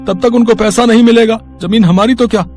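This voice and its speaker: Man voicing a sly elder brother, high pitch. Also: steady tone, fairly quick, darkish tone, faint music behind. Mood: feigned outrage. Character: greedy, cunning elder brother from the city.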